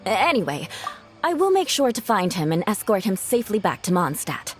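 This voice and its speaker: A woman's voice: medium pitch, very animated, moderate pace, over music, close to an everyday voice. A woman playing a proud, cool, dutiful noblewoman knight in a video game, with a formal, haughty manner. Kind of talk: video game cutscene acting.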